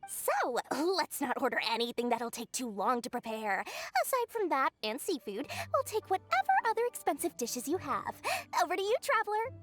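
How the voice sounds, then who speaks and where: A woman's voice: high-pitched, huge pitch swings, normal speed, fairly bright tone, heavily acted. A woman voicing a wily, self-assured and wordy character in a fantasy video game, with theatrical delivery. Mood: brisk, commanding. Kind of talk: English video game voice acting. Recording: dry studio voice with music behind.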